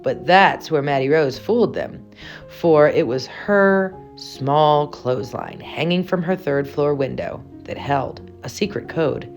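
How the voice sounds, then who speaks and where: A woman with a low voice reads a children's picture book aloud. Her delivery is expressive and storylike, with carefully shaped, dramatic phrases. Music plays behind her. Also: measured pace.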